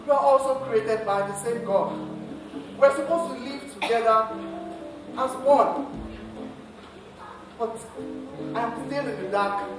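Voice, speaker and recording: Distant reverberant stage recording, actor as bewildered man, Nigerian accent, slow, halting, pleading. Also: very high-pitched. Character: bewildered, pleading husband addressing women. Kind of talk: stage monologue. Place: theatre stage. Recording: echoey stage hall, distant mic.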